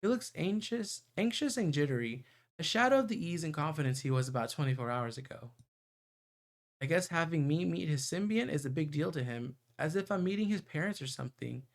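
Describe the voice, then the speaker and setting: A man with a fairly high voice and plenty of vocal fry reads a story aloud on a livestream. He is playful and chatty, and he trips over the odd word as he goes. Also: expressive, brisk. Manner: playful, stumbles while reading. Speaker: streamer reading a visual novel aloud. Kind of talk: livestream read-aloud narration with chatty asides.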